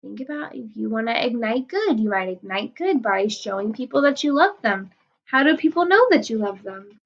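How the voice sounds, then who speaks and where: A woman teacher reading a picture book aloud to children, gently explaining words and asking them questions. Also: fairly high pitch, sing-song, moderate pace, dull audio.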